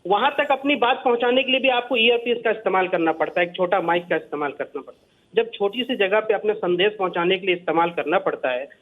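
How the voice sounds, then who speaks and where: Phone-like audio, male debate panelist, high pitch, emphatic rhetorical delivery. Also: fast talker. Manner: emphatic, rhetorical, builds lists with repetition. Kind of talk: impassioned political debate. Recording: thin phone-like remote link audio.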